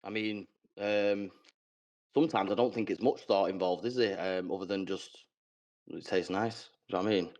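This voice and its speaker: A man calling into a live coaching session, hesitant and chatty with many pauses and fillers. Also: low voice, steady tone, a little mellow. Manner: hesitant, many ums, laughs easily. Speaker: caller. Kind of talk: casual chat on a call. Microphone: remote call connection. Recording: dull, muffled call audio.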